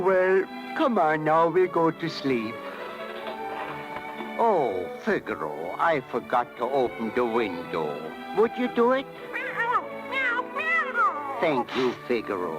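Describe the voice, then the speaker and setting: A kindly old craftsman, dreamy and fond. He speaks slowly with lively rises and falls, in an old radio drama with music behind. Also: high-pitched, lo-fi sound, full character voice. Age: older. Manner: doting, chuckling, musing. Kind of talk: 1930s radio acting.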